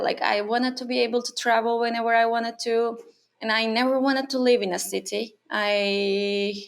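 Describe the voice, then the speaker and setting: A woman in her thirties from Turkey, a native Turkish speaker, answers an interviewer's questions. Her voice sits a little high and has a gravelly edge. She talks thoughtfully, pausing and restarting as she goes. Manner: thoughtful, with frequent fillers and restarts. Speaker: interview guest, freelancer. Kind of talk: casual interview conversation. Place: her home on an island.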